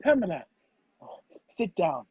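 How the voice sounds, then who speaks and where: A man's voice: sits high, sing-song, unhurried, dark tone, husky, dry recording, exaggerated voice. A man acting a wrongly accused, impatient man on the run in a radio play over a video call. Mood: anxious. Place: video call, dry room. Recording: muffled video-call audio.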